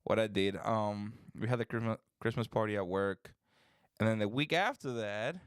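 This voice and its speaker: Young male podcast host, relaxed and rambling, clean voice, dry room. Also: on the low side, normal speed, clean tone, dry recording. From Dominican Republic. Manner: relaxed, rambling, says "bro". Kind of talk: casual chat.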